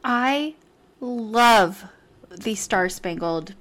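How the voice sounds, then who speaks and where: A woman's voice: fairly high pitch, expressive, very slow, fairly bright tone. Laughing woman podcast co-host. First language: not English. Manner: laughs often, playful and opinionated. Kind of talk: casual chat.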